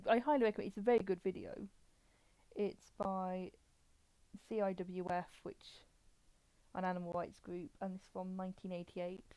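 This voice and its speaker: Mellow, casual woman streamer. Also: medium pitch, no echo, low-quality recording. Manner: informal, rambling, explanatory. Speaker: live stream host. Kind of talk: casual chatty commentary.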